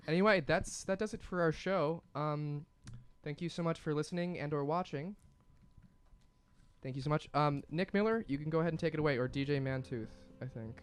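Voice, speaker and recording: A man's voice: a little high, lively intonation, moderate pace, pauses often, clean tone. A young man hosting a radio show, casually signing off with giggly, stumbling repetitions. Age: young adult. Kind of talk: casual, unscripted show sign-off. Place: small studio shared with cast. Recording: other voices chattering around him.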